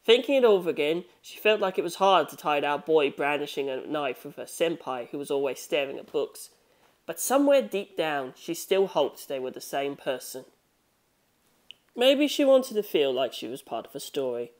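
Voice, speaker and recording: A man's voice: fairly high pitch, animated, normal speed. Man narrating a story. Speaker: audiobook narrator.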